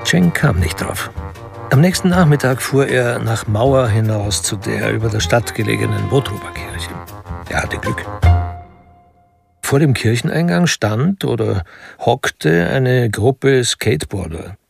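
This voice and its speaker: An actor playing a wry storyteller, narrating a literary crime radio drama with deliberate pauses and a gravelly voice. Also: male voice, mid-range voice, expressive, soft background music. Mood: observant, wry.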